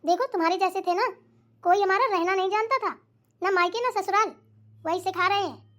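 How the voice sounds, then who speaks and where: A woman's voice: very high-pitched, fairly quick, a bit bright. A woman playing a bossy, scolding mother-in-law who lectures and chides in a family comedy skit. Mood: stern, lecturing. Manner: chiding, lecturing.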